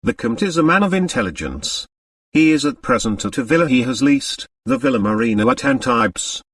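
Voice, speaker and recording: A man voicing a shrewd little foreign detective in an audiobook, mock modest and precise, murmuring softly. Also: medium pitch, sing-song, fairly quick, no echo, distant mic. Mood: smug. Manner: soft murmuring, precise, complacent.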